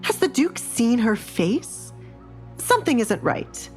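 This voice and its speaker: A woman's voice: a little high, big pitch swings, takes it slowly, soft background music, voice pushed up. A woman voicing a scheming middle-aged noblewoman, fretful and disapproving, in an audio drama. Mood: indignant.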